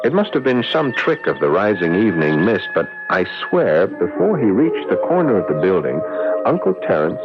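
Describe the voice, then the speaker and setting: An actor playing an earnest, bemused young American visitor in a radio drama, speaking a little hesitantly. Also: male voice, on the low side, sing-song, moderate pace, over music. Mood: reflective narration. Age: young adult. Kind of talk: radio drama acting and first-person narration. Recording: dull old radio drama recording.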